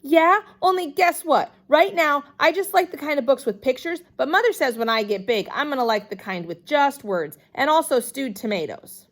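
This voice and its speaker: Lady doing a bratty little kindergarten girl for a storybook, high voice, super emphatic and naive, all over the place. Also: animated, moderate pace, hardly any pauses. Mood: chattering. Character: excitable, blunt little kindergarten girl, cheeky and stubborn.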